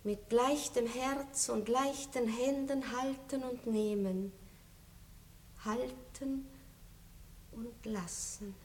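An actress playing a gracious, aging aristocratic lady in a 1960s radio play, speaking softly with a tinge. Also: on the high side, steady tone, a little hiss or hum. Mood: gently resigned. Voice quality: soft, measured, melancholy. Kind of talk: 1960s radio drama acting.

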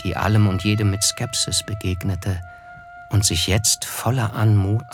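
An actor in a radio drama playing a reflective, wistful young man who narrates in a measured, literary way. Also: on the low side, fairly even intonation. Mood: pensive. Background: music.

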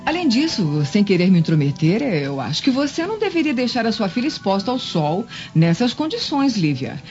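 An actress playing a haughty, cruel aunt in a radio drama, speaking disdainfully with a Brazilian accent. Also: female voice, mid-range voice, big pitch swings, fairly quick, lightly acted. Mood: condescending. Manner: disdainful, sharp, theatrical. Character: haughty, cruel, spiteful aunt in ancient Jerusalem. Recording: dull lo-fi audio with music underneath.

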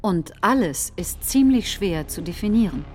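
A combative populist politician voiced by an actress in a political radio play. The woman's voice sits at a middle pitch. The delivery is deliberate, rhetorical stage speech. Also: expressive, darkish tone, soft background music, natural voice. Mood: grave, warning. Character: combative, folksy populist politician rallying supporters.

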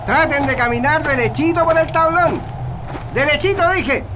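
A man's voice: very high voice, moderate pace, noisy background. A man playing a brutal, menacing Chilean military officer in a radio drama, barking threats and orders at prisoners. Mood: harsh, threatening.

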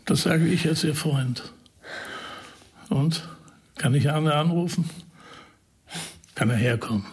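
Husky, creaky shrewd investigator. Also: male voice, on the high side, a little mellow, exaggerated voice. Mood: gently pleading. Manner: deliberate, pausing, persuasive. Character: shrewd male investigator explaining a dismissal intrigue to a friend. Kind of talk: radio crime drama acting.

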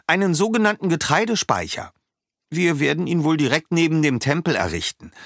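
Man doing an anxious, eager young villager for a storybook; lively, husky. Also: medium pitch, dry recording, clean studio sound, voice pushed up. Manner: earnest, eager, worried.

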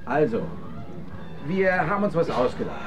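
A high-voiced, very animated man, an actor in a radio play, as a brash contest emcee. He cajoles and bosses like a patronising showman, over music in a slightly dull recording. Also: moderate pace, close to an everyday voice. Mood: cajoling, bossy. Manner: pushy, patronising, checking with "ja?". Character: brash, cajoling dance-contest master of ceremonies. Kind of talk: radio drama acting.

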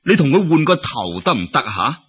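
A man plays a tipsy husband from a folk story, asking a favour in halting, stammering bursts. The voice is rough-edged and swoops up and down, on a muffled radio-style recording. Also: medium pitch, big pitch swings. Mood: tipsy, pleading. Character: tipsy young husband pleading awkwardly for a favour.

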